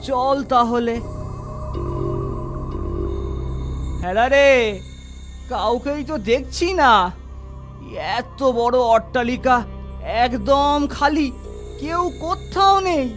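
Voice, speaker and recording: A performer playing a poor, cautious male wanderer in a children's cartoon fairy tale, with an anxious, halting manner. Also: high voice, a bit bright, soft background music.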